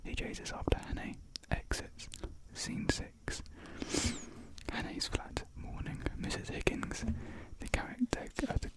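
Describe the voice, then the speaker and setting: Soft whispered script narration. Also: a little slow, low-quality recording. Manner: soft whisper, reads stage directions, laughs at own slips. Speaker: narrator reading a stage script. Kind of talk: ASMR whispered script reading.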